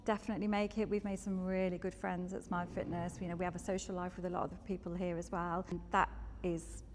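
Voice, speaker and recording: A woman's voice: on the low side, little pitch movement, fast talker. A woman in her fifties, a gym member talking in an interview, chatty and enthusiastic. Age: 50s. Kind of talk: casual interview chat. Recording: reverberant room, faint noise.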